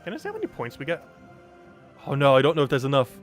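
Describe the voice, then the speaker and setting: A man's voice: medium pitch, huge pitch swings, racing, faint music behind. A young man from New Jersey with a New Jersey accent, chatting casually and rambling as he commentates a game stream, chuckling often.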